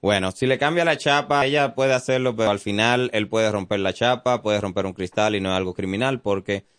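Dominican guy cop on radio, real deep voice, calm, lots of um's, dry mic. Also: fairly quick, no echo. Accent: Dominican Spanish. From Dominican Republic. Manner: explanatory, calm, many fillers. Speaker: police officer guest. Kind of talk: informative radio interview. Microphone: studio mic.

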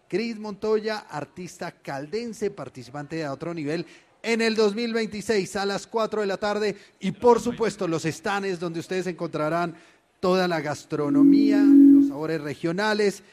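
A Colombian man reads out event announcements as a co-host. His voice is high-pitched and presentational. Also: a little slow, a little mellow.

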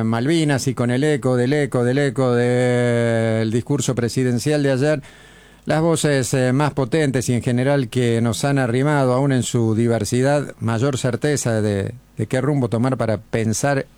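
A man's voice: medium pitch, darkish tone, clean tone, dry recording. A man with an Argentine accent hosting a radio interview, asking long, winding questions. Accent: Argentine Spanish. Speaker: radio host.

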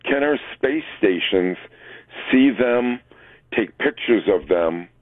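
A man's voice: medium pitch, lively intonation, takes it slowly, dry recording. A man in his sixties calling a radio show, chatting casually and hesitantly over a tinny phone line. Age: 60s. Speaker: regular phone-in caller. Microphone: telephone.

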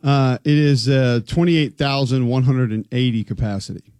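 A man co-hosting a relaxed sports talk show, wry and joking as he reads out facts and figures. His voice sits at an ordinary pitch, recorded close and dry with no room sound. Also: moderate pace, no echo.

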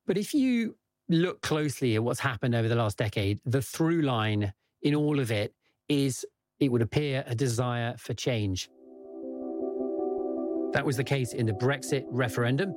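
A man explaining politics on a news podcast in a measured, careful way with some fillers. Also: mid-range voice. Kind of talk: explanatory conversation.

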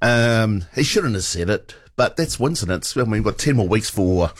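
A man's voice: fairly low pitch, animated, normal speed. A man, talking easygoingly and a little hesitantly as a panelist. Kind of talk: casual panel discussion.

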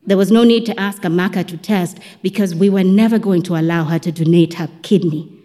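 A woman's voice: on the low side, a little fast, warm tone, long reverb tail. A woman reading a first-person literary story as a scripted narration. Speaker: audiobook narrator.

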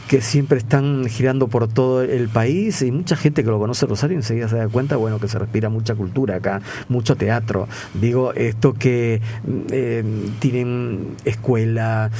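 A man hosts a relaxed interview in a warm, mellow voice. He rambles, pausing often on fillers as he gathers his thoughts. A faint background hum sits under his words. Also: mid-range voice. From not from Argentina. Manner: rambling, with many hesitations and fillers. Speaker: radio host interviewing guests. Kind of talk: casual interview chat.

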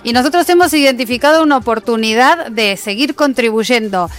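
Woman, airline commercial director, non-Mexican Spanish accent, measured corporate talk, fillers, faint music. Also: on the high side. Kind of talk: interview answers.

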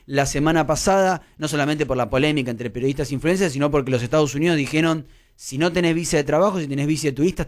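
A man with an Argentine Rioplatense accent giving a conversational, explanatory news report on the radio. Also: a little high.

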